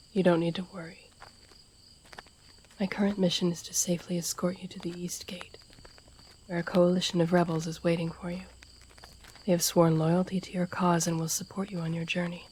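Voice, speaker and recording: A woman's voice: lowish voice, flat delivery, moderate pace, long pauses, a little mellow, a little hiss or hum, lightly acted, rough recording. A woman playing a guarded, deadly royal assassin and devoted protector in a fantasy audio roleplay, stern and formal, often dropping toward a whisper. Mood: solemn, devoted. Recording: outdoor night ambience with crickets behind. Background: crickets and night nature ambience.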